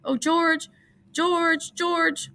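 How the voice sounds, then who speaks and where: A woman's voice: high voice, a little fast, vocal fry, fairly bright tone, voice pushed up. A woman playing a big, simple-minded man who pleads like a child, in a storybook reading. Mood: frantic.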